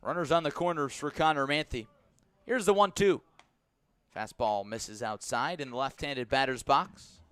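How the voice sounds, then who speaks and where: Measured male baseball play-by-play announcer. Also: fairly bright tone. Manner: measured delivery, lifting on each pitch. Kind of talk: live radio sports commentary. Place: stadium press box.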